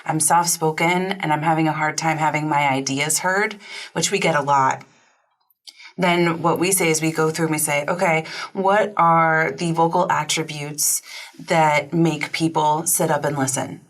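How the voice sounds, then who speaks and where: A woman voice coach and trained opera singer, speaking as a studio guest with measured, articulate explanations. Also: deep voice, fairly even intonation.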